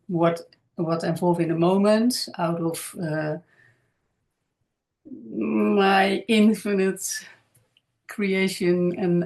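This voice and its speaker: Slow, low-voiced Dutch woman. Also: a little mellow. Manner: hesitant, searching for words, frequent fillers. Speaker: content creator. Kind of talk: casual spiritual monologue to camera.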